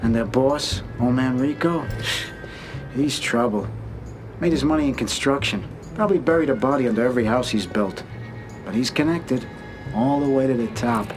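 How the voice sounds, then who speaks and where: An actor playing a talkative mob associate giving the rundown on a rival gang. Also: male voice, mid-range voice, moderate pace, music in the background, natural voice, rough recording. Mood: chatty. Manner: chatty, loose. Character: chatty male mob associate in Florida, loose. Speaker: Fredo, mob driver.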